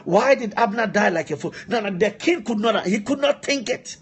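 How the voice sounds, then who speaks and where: A man preaches in a high, husky voice. He delivers his sermon with passion, hammering phrases through repetition and pressing the listener with rhetorical questions. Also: animated, normal speed. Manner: emphatic, repeats phrases, poses rhetorical questions. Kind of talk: impassioned sermon.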